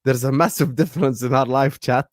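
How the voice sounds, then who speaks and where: A man with a mid-pitched voice hosts a casual football chat, teasing his guests in quick banter and laughing often. The recording sounds dry and close-miked. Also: fairly even intonation, no echo. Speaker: podcast host.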